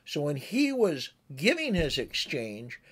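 A man's voice: on the high side, huge pitch swings, slow, fairly bright tone, husky. A man in his sixties giving a conversational, instructive amateur radio tutorial as the presenter. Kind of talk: explanatory tutorial with demonstrated radio calls.

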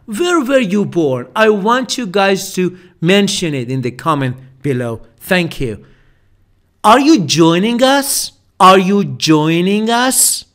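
Man, English teacher, slow careful delivery, repeats each phrase, high voice. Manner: repeats phrases slowly, lively up-and-down intonation. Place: voice-over for slide video.

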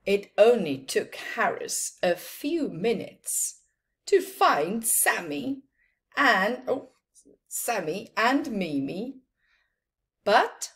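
A middle-aged woman reading a children's storybook aloud with expressive, dramatic phrasing and emphasised words. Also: on the high side. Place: small plain room indoors.

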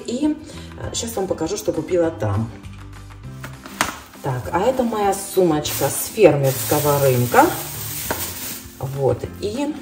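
Middle-aged woman vlogger, chatty, low and dark voice, caught from across a roomy kitchen. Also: recorded from a distance. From Russian-speaking, living in Ireland. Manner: chatty, addresses viewers as girls. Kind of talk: casual grocery haul chat. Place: home kitchen. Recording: roomy, from off-mic, soft music.

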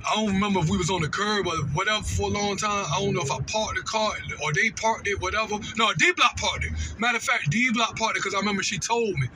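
High-pitched man telling stories. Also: fast, hardly any pauses, music in the background. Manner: animated, repetitive, slangy, conversational asides. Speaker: storytelling vlogger. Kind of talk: casual personal storytelling. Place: inside a moving car. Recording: vehicle road noise.

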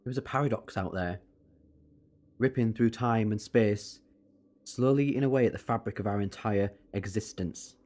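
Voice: medium pitch, moderate pace, a little mellow, lightly acted. An eccentric time traveller from another world in a sci-fi audio drama, voiced by an actor. The delivery is wry and pedantic, yet earnest and persuasive, with a solemn streak. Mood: urgent, persuasive. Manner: wry, quibbling, turning solemn.

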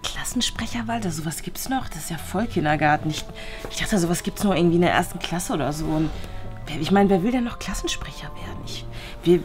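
A woman's voice: on the low side, lively intonation, fairly quick, over music, natural voice. A woman voicing a cool new schoolgirl with an offhand, reluctant way of talking in a children's school story. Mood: dismissive.

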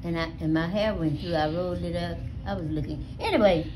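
An elderly American woman telling stories casually to family, with a salty, animated manner, recorded on a phone at home. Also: lowish voice, brisk, background noise. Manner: animated, salty, acts out dialogue with swearing. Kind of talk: casual storytelling among family. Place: small living room at home. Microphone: phone held near her.

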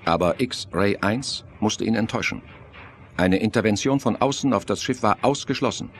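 Deep-voiced male thriller narrator. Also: normal speed, slight background noise. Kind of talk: scripted dramatic thriller narration in a German audio drama.

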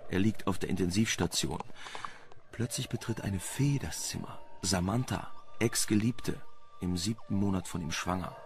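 Crime radio play: suave, sardonic reporter, lowish gravelly voice, music underneath. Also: male voice, moderate pace. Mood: dry, informative. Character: wry, flirtatious investigative male journalist. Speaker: radio drama actor.

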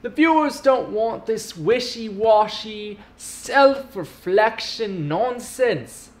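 Man putting on a loud, high-pitched heckler. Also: lively intonation, slow. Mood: scolding, commanding. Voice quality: unsteady, shifting put-on accent. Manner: hectoring, emphatic, bossy. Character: loud, scolding blanket-wrapped heckler who calls everyone laddie.

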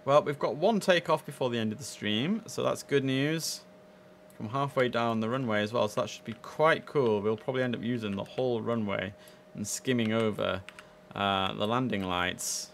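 A man's voice: medium pitch, normal speed, creaky, fairly bright tone, a little hiss or hum. A young man chatting casually and easily as he hosts a live gaming stream, speaking into a headset.

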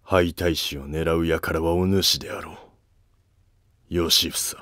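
A dutiful palace guard official , played by a man in an animated period drama. He is stern and guarded, giving curt formal replies in a low, dry-recorded voice. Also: slow pace, no echo. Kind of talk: Japanese historical animated drama acting.

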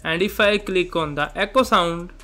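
A man presenting a software tutorial, narrating step by step in simple instructional phrases. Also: high voice, normal speed. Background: background music.

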